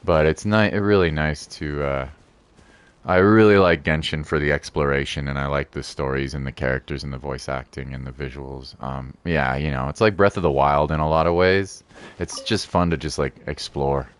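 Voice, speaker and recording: A man's voice: rumbling low, steady tone, moderate pace, clean tone, no echo. A man chatting casually as a game streamer, with an easygoing, rambling and playful way of talking. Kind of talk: casual livestream chat.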